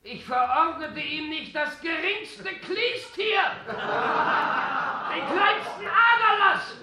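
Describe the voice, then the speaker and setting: A man's voice: very high voice, lively intonation, extremely slow, heavy background noise, exaggerated voice. An actor playing an ailing, irascible stage comedian in a radio drama, declaiming indignantly, stammering and repeating his phrases. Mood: outraged, weakening. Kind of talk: theatrical German radio drama acting. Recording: echoey theatre stage with audience behind.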